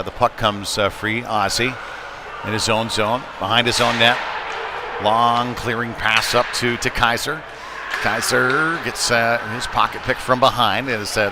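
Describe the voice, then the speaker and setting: A man giving live play-by-play of an amateur hockey game in an informal, folksy, conversational way. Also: fairly low pitch. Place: indoor ice rink during a live game. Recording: noisy rink ambience behind voice. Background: clear rink and game noise.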